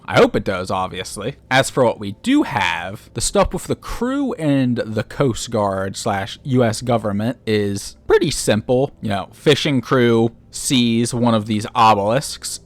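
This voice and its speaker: Low-voiced man giving explainer commentary. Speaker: video essay host. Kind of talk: explainer commentary recapping a horror series.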